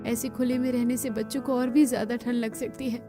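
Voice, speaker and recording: A practical, hopeful young daughter-in-law from a poor cartoon family, acted by a woman. Her voice is high, with clear background music beneath it. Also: flat delivery, brisk, natural voice. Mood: worried. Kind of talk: cartoon story dialogue.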